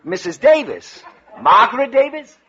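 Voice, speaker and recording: A pompous school principal, formal and blustery, with a high-pitched, bright voice. It sounds like a muffled 1940s radio comedy. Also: male voice, sing-song, moderate pace, slightly rough, heavily acted. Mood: blustering.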